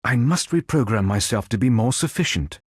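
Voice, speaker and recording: A man playing a calm, noble android superhero in a video game, speaking in formal, precise, stilted robotic phrases. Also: medium pitch, moderate pace, husky, no room sound, studio quality. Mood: measured, analytical. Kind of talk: video game character voice lines.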